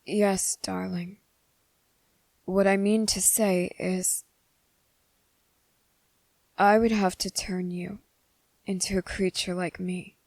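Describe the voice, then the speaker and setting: A soft-spoken, possessive vampire lover, acted by a woman speaks slowly and intimately in an ASMR roleplay. His delivery stays flat and even, with barely any rise or fall. The recording is close and very dry, with no room sound. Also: medium pitch, dry recording. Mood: tender, grave. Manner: slow, soft-spoken and intimate, slipping into whispers. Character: young male vampire, devoted and possessive lover.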